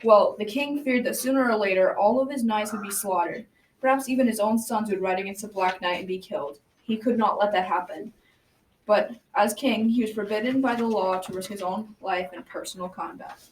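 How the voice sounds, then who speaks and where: A woman's voice: mid-range voice, brisk, close to an everyday voice. A teenage girl playing a folksy old grandfather who tells his grandchildren a tale in a school radio drama. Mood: storytelling. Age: young teenager. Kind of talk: scripted storytelling. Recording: distant mic, clear background noise.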